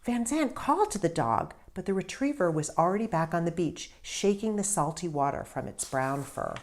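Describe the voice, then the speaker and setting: A woman author reading a story aloud in an expressive, deliberate narrating style with emphatic stresses. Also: lowish voice.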